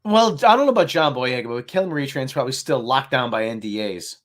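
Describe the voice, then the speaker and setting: A man's voice: mid-range voice, expressive, fast talker, fairly bright tone. A man speaking as a panelist in a casual chat, sardonic and blunt, with some swearing.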